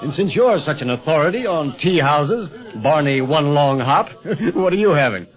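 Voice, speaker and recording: A man's voice: on the high side, expressive, moderate pace, natural voice. A man playing a genial secret agent and uncle in a 1930s radio adventure serial. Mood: amused. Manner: amused, chuckling, teasing. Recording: dull old radio recording with music.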